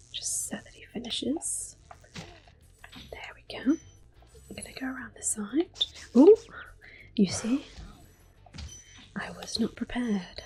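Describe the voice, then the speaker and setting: A woman speaking in a hushed, unhurried, gentle whisper as she gives ASMR game commentary. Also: a little high, poor audio. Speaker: host of an ASMR gameplay series. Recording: clicking controller noise. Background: controller clicks.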